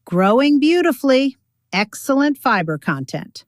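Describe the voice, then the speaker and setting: A woman voicing an unflappable older mother and teacher, deadpan, precise and matter-of-fact, in an audio story. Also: high voice, unhurried, no room sound, studio quality, voice pushed up. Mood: breezy.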